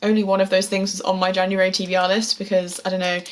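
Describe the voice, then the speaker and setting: A young woman with a slightly hoarse, sore voice, chatting casually about books to camera as a vlogger, enthusiastic and repeating words for emphasis. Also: medium pitch, level tone, fast talker. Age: young adult. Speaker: book vlogger. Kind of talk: casual book chat to camera.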